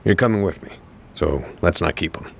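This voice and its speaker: An actor playing a wry, casual fixer and guide in a video game dub, with a glib, teasing way of talking. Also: male voice, deep voice, fairly quick, creaky, a little hiss or hum. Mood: breezy, wry. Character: breezy, wry male real-estate fixer and local guide.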